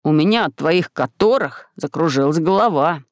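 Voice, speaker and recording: A woman voicing a boy's excitable, protective friend in a storybook, blustery and emphatic, drawing words out. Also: low-pitched, moderate pace, dry recording, studio quality. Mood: dazed groaning.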